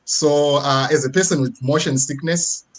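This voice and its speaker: A man's voice: fairly high pitch, fairly even intonation, normal speed, poor audio. A man with a Zimbabwean accent giving a personal, motivational online presentation in a conversational way, often checking in with short tag questions. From rural Midlands region of Zimbabwe. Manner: conversational, frequent tag questions seeking agreement. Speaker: guest speaker. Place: online video presentation with screen sharing.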